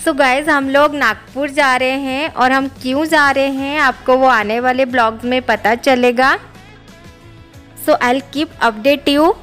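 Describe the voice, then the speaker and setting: Chatty high-voiced woman vlogging by phone. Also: fairly even intonation, slow pace, a bit bright, soft background music. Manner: chatty, mixing Hindi with English words. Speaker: family vlogger. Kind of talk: casual vlog narration. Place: home and on the road, train station. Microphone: phone held at arm's length.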